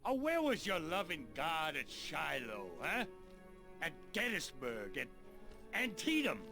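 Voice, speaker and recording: A man's voice: fairly high pitch, sing-song, slow, creaky, music in the background, full character voice. A man playing a menacing, hardened gunslinger in a Western game, mocking and sneering as he talks. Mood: bitter, mocking. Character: menacing, sardonic gunslinger, hardened older brother. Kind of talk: Western game cutscene acting.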